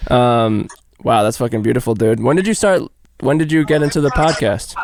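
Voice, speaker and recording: A Midwestern man hosts a comedy podcast in a loose, joking way and swears casually. His voice has a gravelly fry to it, at a middle pitch. He sounds relaxed and friendly with the caller. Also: brisk, noisy background. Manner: joking, laid-back, profane. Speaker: podcast host.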